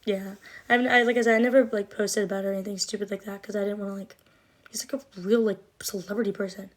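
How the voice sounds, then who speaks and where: A young woman chatting casually into her phone from home during a livestream. She rambles through answers to viewer comments, leaning on lots of fillers, and speaks with a slight lisp and trouble with her R sounds. Her pitch sits in the middle range for a woman. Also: steady tone, quick pace. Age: 20s. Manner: rambling and chatty, many likes and ums.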